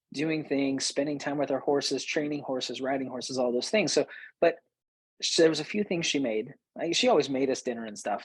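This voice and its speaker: Husky man hosting a coaching show. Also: medium pitch, a little mellow, no room sound. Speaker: host, business coach. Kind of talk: personal storytelling to listeners.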